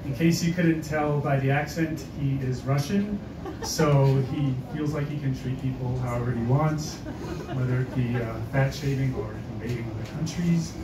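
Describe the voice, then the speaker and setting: A man's voice: mid-range voice, moderate pace, noisy background. A man from the area doing a stand-up comedy set, wry and self-mocking, with lots of hesitant fillers. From Boston area, USA. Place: comedy club stage. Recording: echoey club audio from a distance.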